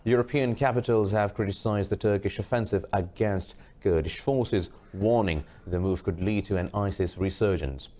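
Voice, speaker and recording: A man reading a scripted news bulletin as anchor, formal and measured. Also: fairly low pitch, warm tone.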